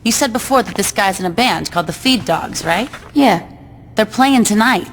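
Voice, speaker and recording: A woman's voice: medium pitch, expressive, normal speed, a little hiss or hum, lightly acted. A woman playing a tough, sarcastic, ruthless street gang boss in a video game cutscene, cocky and commanding. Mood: determined, wry. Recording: loud music under the voice.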